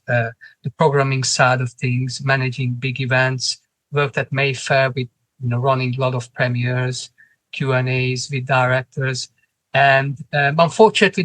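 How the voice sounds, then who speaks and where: A man from Hungary with a Hungarian accent, answering interview questions in English as a guest, often pausing and restarting his phrases. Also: medium pitch, fairly bright tone, no room sound. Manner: halting, restarts phrases, non-native grammar. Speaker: cinema manager, guest.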